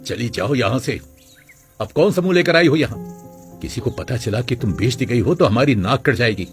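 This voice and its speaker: A man's voice: medium pitch, lively intonation, moderate pace, faint music behind, lightly acted. A man playing a harsh, threatening middle-aged father from a rich family in a story. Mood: cold rejection.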